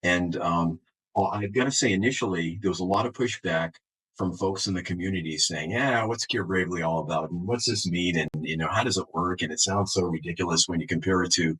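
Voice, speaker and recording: A very low-voiced man with a markedly husky, rough tone talks as an executive being interviewed. He answers unscripted, often doubling back on words and restarting his phrases as he explains. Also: brisk, a bit bright, rough recording. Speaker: health system chief executive, interview guest.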